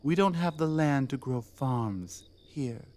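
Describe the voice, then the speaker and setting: A woman playing a proud, battle-hardened orc queen in a scripted ASMR roleplay, speaking deliberately with commanding, pointed rhetorical phrasing. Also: a bass voice, expressive, dark tone, heavily acted. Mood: earnest, persuasive.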